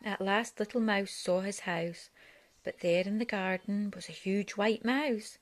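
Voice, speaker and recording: A woman's voice: mid-range voice, fairly even intonation, measured pace. Woman reading a children's picture book. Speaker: storyteller reading aloud to a child.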